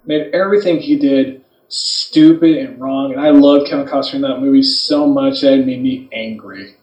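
A man's voice: medium pitch, steady tone, normal speed, a little mellow. Opinionated man, echoey and distant from mic. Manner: insistent, opinionated, explanatory. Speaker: podcast co-host. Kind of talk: casual movie debate. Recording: muffled, echoey, distant microphone.

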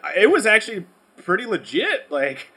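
A man's voice: high-pitched, huge pitch swings, normal speed, pushed and bright, slightly rough. A man co-hosting a podcast, bantering casually with brash, blunt opinions and heavy swearing. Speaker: podcast co-host.

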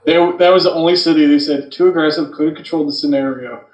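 Guy on a podcast, kinda high voice, echoey room, crude jokey casual swearing. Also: fast. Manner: crude, joking, animated storytelling with acted quotes. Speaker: podcast co-host. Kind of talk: casual, profane podcast banter. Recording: roomy, echoing sound, off the mic.

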